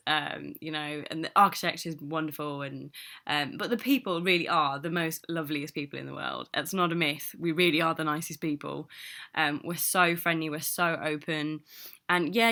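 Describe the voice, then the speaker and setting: A young woman with a Midlands accent, chatting excitedly in a casual video message, with lots of ums and laughs. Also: sits low. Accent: English Midlands. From born in Newcastle, raised in the English Midlands. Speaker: travel blogger.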